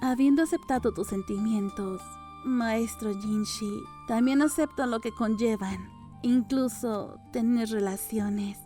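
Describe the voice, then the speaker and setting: Mellow, earnest young apothecary woman, voiced by a female narrator. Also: a little high, measured pace, natural voice. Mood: serious. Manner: earnest, deferential, blunt. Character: serious, matter-of-fact young apothecary woman. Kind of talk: audiobook character dialogue. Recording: music under the voice.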